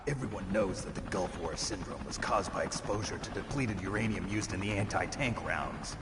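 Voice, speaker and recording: An actor playing a gruff, stoic soldier hero in a video game cutscene, gravelly, terse and guarded. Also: male voice, low voice, expressive, moderate pace. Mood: sceptical. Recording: distant, very noisy game ambience.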